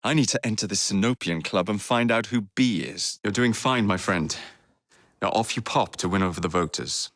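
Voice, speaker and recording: An actor playing a cocky, roguish young Victorian gang leader in a video game, with an English accent and a cheeky, theatrical, teasing way of speaking. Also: male voice, on the low side, moderate pace, fairly bright tone, no room sound, studio quality. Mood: sardonic. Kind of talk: dramatic video game dialogue lines.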